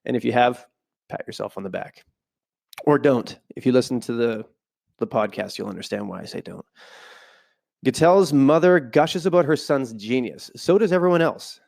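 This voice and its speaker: A man's voice: medium pitch, normal speed, vocal fry, darkish tone. A man hosting a podcast with a stuffy voice from a cold, reading from a book at home and breaking off into casual side remarks. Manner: reads aloud, then breaks off into loose asides. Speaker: podcast host. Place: home room, not a studio.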